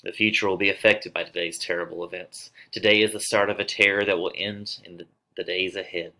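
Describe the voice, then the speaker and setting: A man acts out an impassioned young nobleman in a play reading. The voice is deep with a bright, forceful edge. He declaims with intense feeling. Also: flat delivery, brisk, poor audio. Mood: foreboding. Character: impassioned young nobleman, lovesick turned vengeful.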